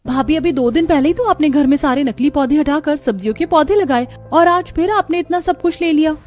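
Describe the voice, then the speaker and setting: Woman as young sister-in-law, frank, teasing, high voice, cartoon family drama. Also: brisk, darkish tone, soft background music, natural voice. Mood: surprised.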